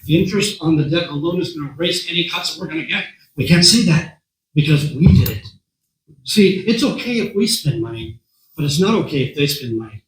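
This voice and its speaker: A man with a hoarse voice speaking to a meeting as a guest speaker, talking in a conversational way, repeating words and often clearing his throat. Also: a little high, roomy sound, poor audio. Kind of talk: talk to a meeting. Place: meeting room.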